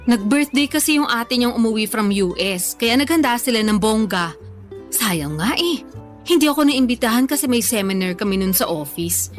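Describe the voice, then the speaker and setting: Actress doing young filipino girl who loves food, highish voice, chatty n playful, radio drama w/ music behind. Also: expressive, normal speed, natural voice. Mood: explaining, easygoing. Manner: chatty, casual Taglish. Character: playful, food-loving young woman, teasingly defensive. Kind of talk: Tagalog radio drama dialogue, conversational. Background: background music.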